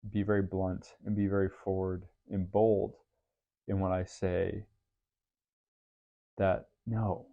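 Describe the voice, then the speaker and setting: A man hosting a podcast, talking through his thoughts in an earnest, faith-based, reflective way with a deep voice. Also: narrow, tinny audio. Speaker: podcast host. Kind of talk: reflective solo podcast monologue.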